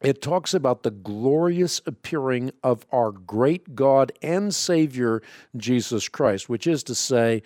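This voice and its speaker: A man's voice: medium pitch, darkish tone, no echo, studio quality. A man whose first language is Dutch, hosting a call-in radio show and explaining answers to callers in an authoritative, emphatic way.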